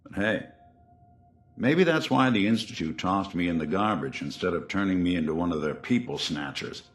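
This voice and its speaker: A man's voice: sits low, lively intonation, echoey room. A middle-aged American man playing a weary, kindhearted robot detective in a video game, with a wry, old-time hardboiled way of talking. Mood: wry, reflective. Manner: wry, old-time hardboiled slang, halting when confiding.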